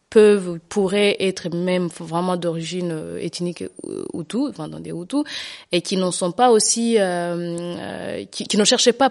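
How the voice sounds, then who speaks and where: A woman's voice: lowish voice, a little mellow. A middle-aged woman giving personal testimony in an interview, speaking hesitantly and often repeating herself as she searches for words. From Rwanda. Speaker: genocide survivor giving testimony.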